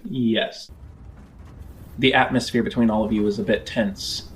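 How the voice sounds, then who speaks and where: A man narrating a tabletop roleplaying game as game master, in a measured, descriptive storytelling manner. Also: lowish voice, fairly even intonation, slight background noise. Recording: music under the voice. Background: background music.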